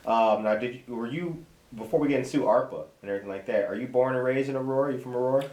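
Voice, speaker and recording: A man hosting a morning podcast, reading community announcements and interviewing a guest in a lively, friendly way. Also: mid-range voice, racing, poor audio. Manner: lively, friendly, self-correcting with a laugh. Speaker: podcast host.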